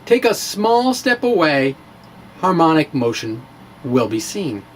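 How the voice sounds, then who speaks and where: A man giving a theatrical, emphatic dramatic reading of a science blog, with deliberate pauses. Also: a little high, big pitch swings, a little hiss or hum. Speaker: science blogger and presenter.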